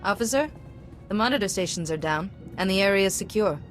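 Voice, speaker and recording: A woman playing a selfless, composed Jedi hero in a video game, speaking in a measured, resolute way. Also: medium pitch, lively intonation, natural voice. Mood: calm, concerned. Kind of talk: cinematic video game voice acting. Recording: music behind the voice.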